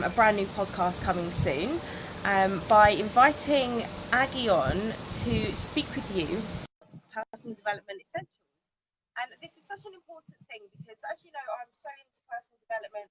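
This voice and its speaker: A woman's voice: a little high, noisy background. A woman who works as a confidence coach hosts a live social media interview, bubbly and enthusiastic with her guest.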